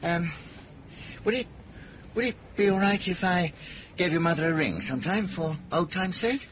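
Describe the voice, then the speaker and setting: An actor playing a genial, slightly hesitant middle-aged gentleman in a radio drama, polite in manner. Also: sits high, lively intonation, normal speed, a little hiss or hum. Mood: hesitant, friendly.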